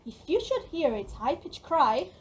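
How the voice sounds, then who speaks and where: A woman's voice: high-pitched, big pitch swings, normal speed. A woman dramatically reciting rhyming verse in an audio play, recorded at a distance. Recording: distant, noisy, crowd behind.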